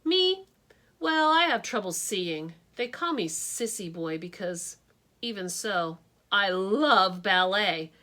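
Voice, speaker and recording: A gawky, eager schoolboy chatters in a high, bouncing storybook voice, as a woman puts him on. His pitch leaps around as he points things out, lively and friendly. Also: takes it slowly. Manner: chatty, excited, raised pitch. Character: gawky eager boy with big glasses.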